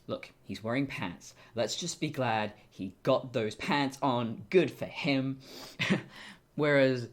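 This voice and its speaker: A young woman with a deep voice for a woman, talking casually and candidly to camera in a wry, self-interrupting way. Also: takes it slowly. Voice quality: deep voice for a woman, deepened by testosterone. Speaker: vlogger. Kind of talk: casual personal monologue to camera.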